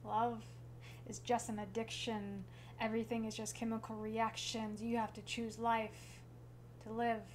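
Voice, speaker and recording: A woman performing her own slam poem in a deliberate, measured delivery, pausing between short phrases. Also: a little high, little pitch movement. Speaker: slam poet. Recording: clear background noise and hum.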